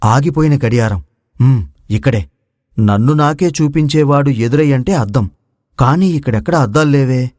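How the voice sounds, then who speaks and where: A brave, quick-witted young storybook prince, voiced by a man, speaks with formal confidence. The voice is mid-pitched and recorded very dry. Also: a little mellow, no echo, close to an everyday voice. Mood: pondering. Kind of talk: Telugu moral story voice acting.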